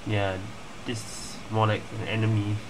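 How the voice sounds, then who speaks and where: A woman's voice: extremely low pitch, flat delivery, moderate pace, noisy background. A woman giving casual commentary as she plays through a video game. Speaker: gaming streamer. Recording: loud game music behind.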